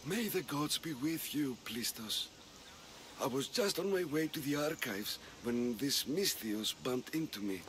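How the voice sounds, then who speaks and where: Slow-spoken, nervous Greek priest. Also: male voice, mid-range voice, expressive, a bit bright, slight background noise. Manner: halting, hesitant, careful speech. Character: nervous, guarded male priest of ancient Greece. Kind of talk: video game drama acting.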